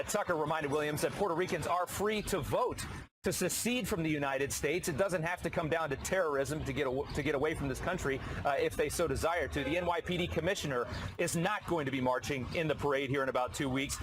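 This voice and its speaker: A man reporting live outdoors on a city plaza, with a brisk, factual news delivery. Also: fairly high pitch. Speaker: field reporter. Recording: outdoor street noise.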